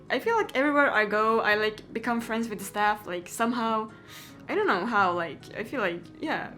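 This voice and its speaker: A woman's voice: a little high, a bit bright. Chatty young woman vlogging. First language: Swedish. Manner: chatty, giggly, lots of "like" and "yeah". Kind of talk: casual Q&A chat to camera.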